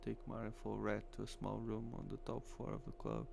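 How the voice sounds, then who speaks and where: Male streamer, native Portuguese speaker, gravelly, reading story narration aloud, halting delivery, slight noise. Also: fairly low pitch, animated, darkish tone. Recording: faint hum and noise.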